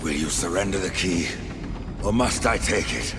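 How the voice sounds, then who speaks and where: A man's voice: sits low, moderate pace, natural voice. An actor playing a grim, stern male horseman in a video game, with a cold, terse and defiant manner. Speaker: game character. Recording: game cutscene with loud music.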